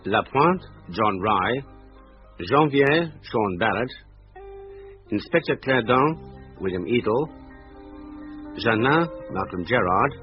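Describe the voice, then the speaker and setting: A man's voice: mid-range voice, lively intonation, takes it slowly, long pauses. A man reading formal scripted closing credits as a radio announcer. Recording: muffled old radio broadcast. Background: plucked guitar music.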